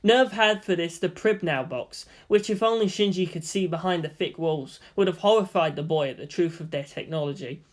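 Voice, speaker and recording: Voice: medium pitch, moderate pace, few pauses. A narrator reading scripted fan fiction prose as an audio story.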